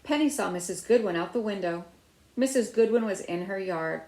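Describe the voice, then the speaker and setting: A middle-aged woman reading a children's picture book aloud with deliberate phrasing. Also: medium pitch, a little mellow, slight background noise. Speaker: storybook reader. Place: small room at home by a window, indoors. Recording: roomy, echoey indoor sound.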